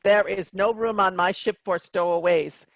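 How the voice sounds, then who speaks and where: A woman's voice: mid-range voice, steady tone, moderate pace, no room sound, natural voice. A woman in a play reading, voicing a stern, coldly officious automated spaceship captain. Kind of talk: staged comedy play reading.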